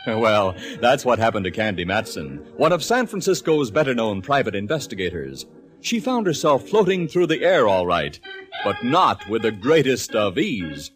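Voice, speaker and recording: A male announcer with a lively, swooping voice introduces the story theatrically. Organ music plays clearly behind him on the old broadcast. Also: fairly low pitch, moderate pace. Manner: theatrical, lilting delivery. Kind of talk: 1940s radio announcing.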